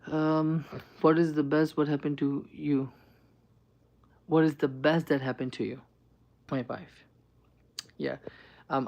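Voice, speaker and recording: A young man on a live stream, answering chat questions with earnest, reassuring advice and lots of ums and "right?". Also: on the high side.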